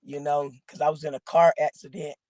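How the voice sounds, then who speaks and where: A woman from Georgia with a raspy voice, a guest rapper rambling casually with lots of fillers. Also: deep voice, flat delivery, fairly quick, a little mellow, dry recording. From Augusta, Georgia, raised in Atlanta. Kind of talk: casual interview chat.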